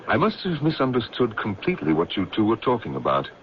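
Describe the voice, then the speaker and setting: An actor playing an earnest, protective magazine editor who also narrates, in expressive 1970s radio drama style. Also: male voice, fairly low pitch, fast talker. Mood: affable. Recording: muffled, noisy 1970s radio recording.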